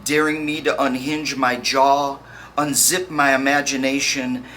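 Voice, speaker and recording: Guy reading poetry real slow, sharp bright voice, bit of noise behind him. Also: mid-range voice, little pitch movement. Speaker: poetry reader. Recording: faint background noise.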